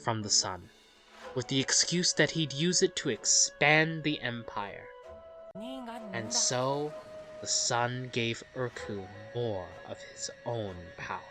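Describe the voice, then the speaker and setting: Slow, measured woman narrating game lore. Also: extremely low pitch. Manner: measured, storytelling. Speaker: let's play narrator. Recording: game music behind the voice.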